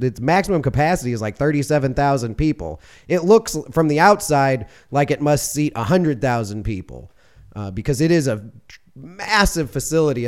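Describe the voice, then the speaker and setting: A man hosting a podcast, talking in a rambling, casual way, his voice a little hoarse from losing it. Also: mid-range voice, darkish tone. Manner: rambling, conversational, with fillers and self-corrections. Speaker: podcast host. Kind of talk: casual monologue.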